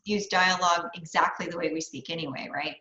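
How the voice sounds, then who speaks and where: A woman's voice: fairly low pitch, fairly even intonation, brisk, bright, forceful tone. Woman podcaster in a roomy, dull recording. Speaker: podcast panellist, writer. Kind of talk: conversational advice. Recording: roomy, slightly dull microphone sound.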